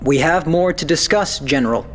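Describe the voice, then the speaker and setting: An actor playing a formal, controlled council leader with an authoritative manner in a science fiction audio drama. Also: male voice, on the high side, moderate pace, slight background noise, close to an everyday voice. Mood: stern, formal.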